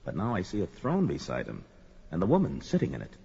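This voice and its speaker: A man's voice: sits low, wildly swooping pitch, quick pace, gravelly, slightly rough, full character voice. A man playing a brawny, blunt barbarian swordsman in a fantasy audio drama, with bold, theatrical delivery. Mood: wary. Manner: forceful, declamatory, theatrical. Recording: dull lo-fi, loud music underneath. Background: music.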